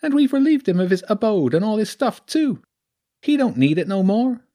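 A high, sneering weasel villain. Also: male voice, big pitch swings, normal speed, mellow. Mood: menacing. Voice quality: high voice with a rural southern English twang. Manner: sneering, taunting. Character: sneering male weasel leader, menacing, mocking.

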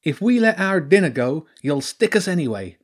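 A man's voice: a little high, very animated, moderate pace, voice pushed up. A man voicing an evil, sneering polecat gang leader in a storybook, taunting and bossy.

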